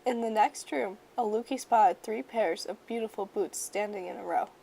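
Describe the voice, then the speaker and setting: A woman storyteller reads a children's picture book aloud. Her delivery is measured and expressive, with medium pitch, shaped for young listeners. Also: vocal fry, slightly rough, rough recording.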